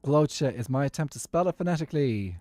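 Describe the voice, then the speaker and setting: A man's voice: fairly high pitch, expressive, quick pace, mellow, dry recording. A man giving easygoing, musing commentary while he plays a game as a streamer. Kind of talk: casual gaming commentary.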